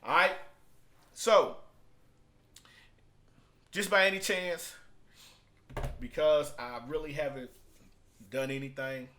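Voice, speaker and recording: A man's voice: a little high, slow pace, pauses often, pushed and bright. A man talking in a casual vlog review style, conversational and emphatic, repeating phrases to drive points home. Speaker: product reviewer and online marketer.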